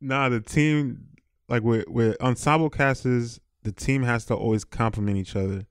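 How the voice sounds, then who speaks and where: A man's voice: medium pitch, normal speed, smooth, dry recording, studio quality. A man co-hosting a podcast, giving opinions in a casual, conversational way. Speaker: podcast co-host.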